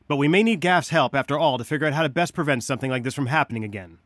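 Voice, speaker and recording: An actor playing an earnest scientist who leads a resistance, guiding heroes through video game missions in a measured, dryly wry way. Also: male voice, mid-range voice, fairly bright tone, dry recording, natural voice. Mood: regretful, grateful.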